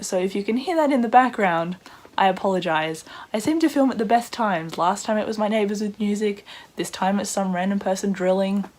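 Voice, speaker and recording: A woman with a croaky voice, chatting casually in a book vlog with excitable asides. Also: medium pitch. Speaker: book vlogger.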